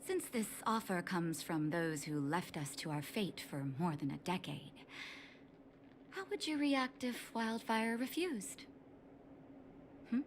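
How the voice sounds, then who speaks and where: An actress playing a calm, shrewd underworld doctor in a video game, poised and deliberate with a probing manner. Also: female voice, fairly low pitch, slight background noise. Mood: pointed questioning. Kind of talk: scripted video game voice acting.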